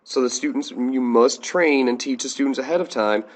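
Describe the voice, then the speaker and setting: American male teacher, mid-pitched voice, plain instructional screencast narration, step by step. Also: normal speed. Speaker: teacher giving a software tutorial.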